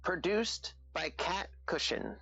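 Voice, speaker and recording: A woman's voice: lowish voice, very animated, takes it slowly, gravelly, fairly bright tone, highs cut off. A woman reading out cast credits as a scripted announcement.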